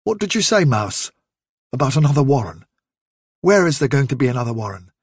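An earnest male rabbit leader presses for answers in a firm, urgent way, as a man reads him. The voice is slightly husky and swings lively in pitch. It sounds close and dry, like a storybook reading. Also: brisk, no room sound.

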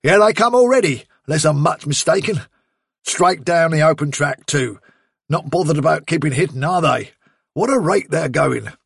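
A hearty, confident rabbit warrior from a storybook , read by a man, British and bluff. The voice is gravelly and a bit husky, with chummy, plain-spoken delivery. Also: on the high side, animated, moderate pace, fairly bright tone, no echo, studio quality, full character voice. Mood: watchful.

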